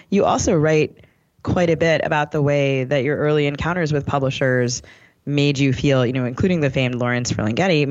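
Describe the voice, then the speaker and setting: A man's voice: medium pitch, no echo. A man co-hosting a podcast, asking conversational questions in a discursive, personal way. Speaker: podcast co-host. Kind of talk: conversational interviewing. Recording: dull, slightly lo-fi sound.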